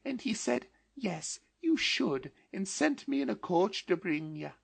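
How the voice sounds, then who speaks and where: Man doing a little girl, gleeful, eager, breathless, sing-song, very high. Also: sing-song, unhurried, vocal fry, slightly rough, dry recording, exaggerated voice.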